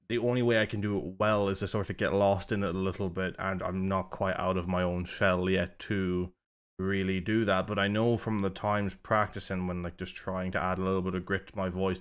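Guy doing a reaction vid, lowish voice, rambles and cuts himself off a lot. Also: smooth. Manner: rambling, self-interrupting, critical. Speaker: music reaction video host. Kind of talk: casual reaction commentary.

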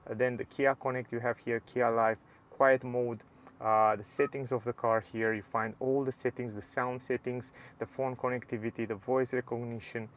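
Man, car reviewer, inside a parked car, casual explanatory talk, non-native phrasing, medium pitch. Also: little pitch movement, normal speed, a little hiss or hum. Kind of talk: casual walkthrough narration.

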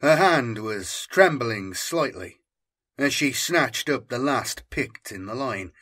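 A man narrating a science fiction audiobook in a measured, deliberate, dramatic storytelling manner. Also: medium pitch, lively intonation, pushed and bright.

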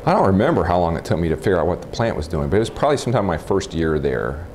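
Very deep-voiced American man in his forties. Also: big pitch swings, very fast, a little mellow. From Texas, United States. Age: 40s. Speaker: interviewee, nuclear physicist. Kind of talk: informal oral history interview, recollecting. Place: room in a house, indoors. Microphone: lapel. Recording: clip-on mic with faint background noise.

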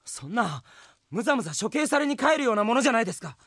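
An actor playing an earnest, polite young heroic knight in an anime audio drama. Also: male voice, very high voice, sing-song, fast, a bit bright, no room sound, studio quality, full character voice. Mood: startled.

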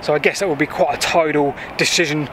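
A chatty man explains old canals as he walks along them, filling pauses with thinking-aloud fillers. His voice sits a little high for a man. Faint outdoor noise runs under the handheld recording. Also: steady tone. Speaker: history vlogger. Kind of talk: walking history vlog. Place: outdoors by a canal path and beach. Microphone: handheld selfie camera at arm's length.

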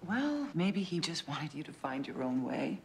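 Cold low-voiced stepmother. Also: sing-song, creaky, darkish tone, full character voice. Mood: cutting. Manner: cool, measured, cutting. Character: cold, condescending wealthy stepmother. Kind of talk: television drama acting. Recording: faint noise, played from a show.

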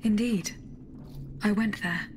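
Game role: poised automaton heroine female actor, measured and terse, mid-range female voice, slight background noise. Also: mid-range voice, lightly acted. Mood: probing, reserved. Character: poised, resolute female clockwork automaton huntress. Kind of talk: video game cutscene acting.

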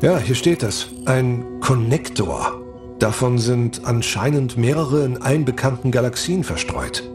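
An actor playing an adventurous, resolute spaceship captain in a science fiction audio drama, casual and confident. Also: male voice, mid-range voice, measured pace, over music, close to an everyday voice. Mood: curious.

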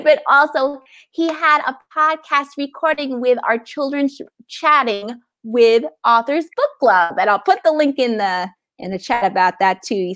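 Upbeat woman hosting, slightly high voice. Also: fairly bright tone. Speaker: library event host. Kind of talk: casual interview chat. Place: indoor room, video call. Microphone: earphone headset on video call.

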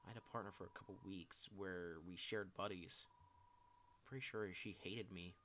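A shy young game character, acted out by a man, full of self-loathing and apology. The voice is low, subdued and hesitant. There is faint background noise in the recording. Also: quick pace, natural voice. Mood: downcast, ashamed.

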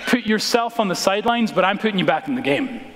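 An American man in his thirties preaching a sermon as a pastor, dramatic and emphatic, with deliberate pauses and repeated phrases, in a large church. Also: high voice, sing-song, fairly bright tone, a little hiss or hum. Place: large church sanctuary, indoors, preaching from the stage. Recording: reverberant church hall.

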